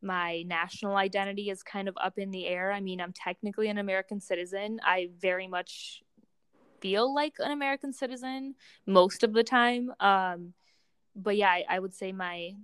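A woman's voice: medium pitch, fairly even intonation, a little fast, fairly bright tone, clean tone, no room sound. A young adult woman from Romania co-hosting a casual, reflective podcast conversation, hesitating as she searches for words. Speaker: podcast co-host, doctoral student in psychology.

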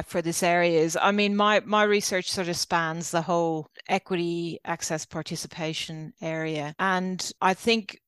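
A woman's voice: fairly low pitch, a bit bright, smooth, dry recording. A middle-aged woman with an Irish accent, a professor reflecting on her work as an interview guest. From Ireland. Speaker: guest, university professor and researcher.

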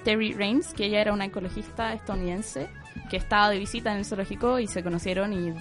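A woman's voice: medium pitch, steady tone, fast talker. Woman podcast co-host, loose rambling storyteller, faint music behind. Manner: rambling, many fillers, laughs often. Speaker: co-host presenting the segment. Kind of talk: casual podcast chat.

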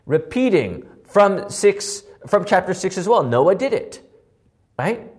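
A man with a hoarse voice teaching a Bible passage, asking questions and answering them himself. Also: sits high, sing-song, takes it slowly. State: losing his voice, hoarse. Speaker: pastor teaching a Bible study.

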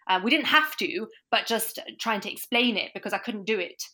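A woman's voice: mid-range voice, lively intonation, a little fast, pushed and bright. A woman guest in a casual interview, talking thoughtfully with many restarts. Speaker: language course provider, guest.

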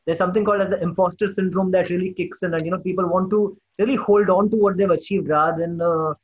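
A man hosts a casual chat in a high, husky voice. He is effusive and affirming as he responds, and the audio is dull and muffled. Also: steady tone, fast talker. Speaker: podcast host.

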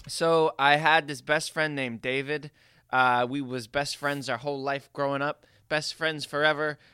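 Bright, crisp-voiced man chatting playfully. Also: fairly high pitch, steady tone, a little slow, no room sound, studio quality. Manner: playful, rambling storytelling. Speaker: podcast co-host. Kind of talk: casual comedic chat.

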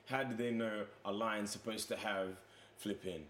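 A man's voice: on the low side, steady tone, moderate pace, fairly bright tone, a little hiss or hum. A man in casual group chat, playful and stubborn, often laughing and using British slang.

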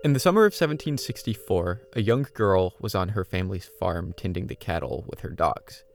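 A man with a lowish voice narrates a spooky podcast from a script. He builds suspense as he tells it, and faint music plays underneath. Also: animated, fairly quick. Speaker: podcast host.

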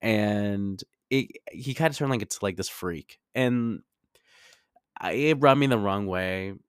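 A man's voice: lowish voice, a little slow, clear, resonant tone, no room sound, clean studio sound. A man in his twenties telling personal stories in a casual, confessional, chatty way, very informal, with lots of "like" and some swearing. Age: 20s. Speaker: podcast host.

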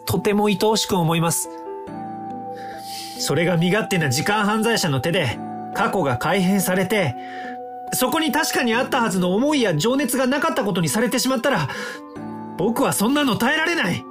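Polite young time traveler inventor, super earnest, pitch goes up and down a lot, music under it. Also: male voice, lively intonation, normal speed. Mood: impassioned, earnest. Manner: polite, explanatory, earnest speech. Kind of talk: audio drama acting.

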